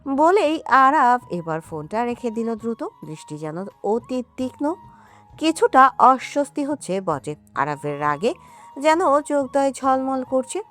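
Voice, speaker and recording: A woman's voice: high voice, big pitch swings, measured pace, fairly bright tone. A woman narrating a scripted romance story with emotive, melodramatic delivery. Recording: music under the voice.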